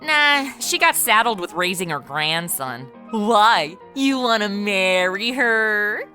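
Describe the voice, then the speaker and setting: A woman's voice: medium pitch, lively intonation, slow pace, sharp tone, soft background music. A woman with an American accent playing a brash, boozy modern party girl, bantering and narrating in a comedy audio drama. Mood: tipsy, snarky. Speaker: lead of the show.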